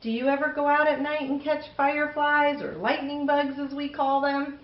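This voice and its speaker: A woman's voice: high-pitched, level tone, moderate pace, echoey room, highs cut off. A woman hosting a children's storytime, reading aloud expressively and chatting enthusiastically with young listeners. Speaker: children's storytime host and reader.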